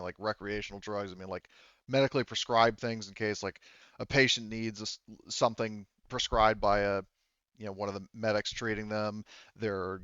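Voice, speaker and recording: A man's voice: on the low side, fairly bright tone, clean tone, no room sound, highs cut off. A man speaking as game master in a casual, rambling way, explaining rules and describing scenes and often correcting himself.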